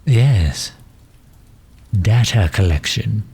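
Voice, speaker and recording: Supervillain played by a man for ASMR roleplay drama: very low, unhurried, pompous and pedantic, condescending yet affable. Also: expressive, darkish tone, a little hiss or hum, full character voice. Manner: condescending, wordy, hesitant pauses. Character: pompous, pedantic, affable gentleman supervillain, fond of his hero.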